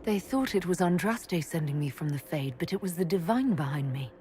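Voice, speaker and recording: A woman's voice: lowish voice, lively intonation, brisk, darkish tone, a little hiss or hum, lightly acted. An actress playing a serious, pragmatic female leader in a fantasy video game. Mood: dawning realization.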